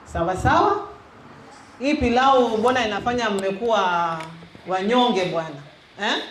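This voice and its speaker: A woman's voice: on the high side, lively intonation, measured pace. Kenyan woman teaching youth in an echoey hall. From Western Kenya. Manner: engaging, quizzes the audience, mixes in Swahili. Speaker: youth minister. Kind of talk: interactive teaching to a youth group. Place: church hall. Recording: echoey hall with faint noise.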